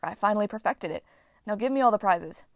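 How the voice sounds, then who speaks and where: A woman's voice: mid-range voice, expressive, fast, no echo, natural voice. Woman doing a wisecracking teenage boy inventor. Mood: smug. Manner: offhand, wisecracking.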